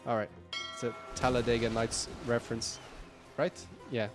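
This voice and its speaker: A man with a croaky, tired voice giving live play-by-play commentary on a strategy game, upbeat and rambling with frequent fillers and self-corrections. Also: medium pitch, level tone, normal speed, over music. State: croaky, tired voice late in a long stream. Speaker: esports caster.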